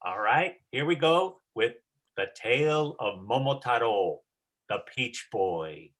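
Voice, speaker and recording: A man's voice: mid-range voice, lively intonation, slow pace, a bit bright. A man giving casual, encouraging instruction as a teacher directing a play rehearsal.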